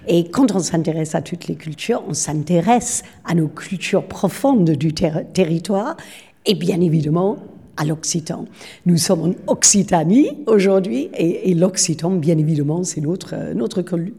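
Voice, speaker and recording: A woman's voice: lowish voice, unhurried, mellow, husky. A woman, speaking as a city official in an interview at a public event, talking eagerly and often repeating words as she searches for the next one.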